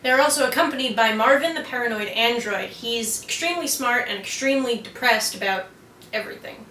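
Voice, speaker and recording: A woman's voice: a little high, brisk, sharp tone. A woman co-hosting a geek culture explainer, presenting scripted material. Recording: echoey room with faint noise.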